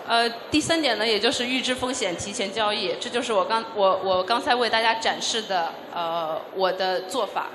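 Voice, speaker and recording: A woman doing consecutive interpreting at a conference, measured and pausing with frequent fillers. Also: mid-range voice, noisy background. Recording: echoey hall with faint ambience.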